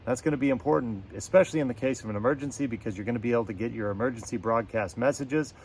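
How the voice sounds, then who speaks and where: A man's voice: medium pitch, racing, a little mellow, slight background noise. A man giving a casual product review to camera as host, with a folksy, slangy way of talking and playful catchphrases.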